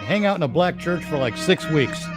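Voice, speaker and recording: A man's voice: on the high side, expressive, brisk. A man giving casual comic commentary over an old radio show, sardonic and profane. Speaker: podcast commentator. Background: old radio show with music playing.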